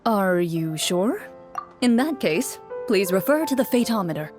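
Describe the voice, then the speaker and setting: A woman voicing a composed game master, narrating a tabletop quest in measured, descriptive storytelling. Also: medium pitch, sing-song, over music. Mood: composed narration. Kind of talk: scripted second-person storytelling narration.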